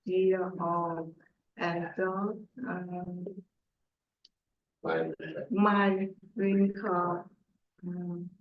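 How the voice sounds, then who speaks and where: A woman in her forties, a student who answers hesitantly and softly, trailing off. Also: on the low side, level tone, extremely slow, pauses often. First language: Vietnamese. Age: 40s. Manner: hesitant, soft-spoken, trailing off. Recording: roomy, muffled classroom audio.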